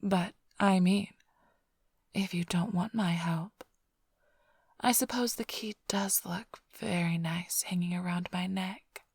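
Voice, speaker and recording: A woman playing a flirtatious, smug immortal vampire thief in an ASMR roleplay, teasing the listener in a breathy, half-whispered, languid drawl. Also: lowish voice, fairly even intonation, takes it slowly, darkish tone, no echo, clean studio sound, full character voice. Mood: playful, flirtatious. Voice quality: breathy, often sinking into whisper.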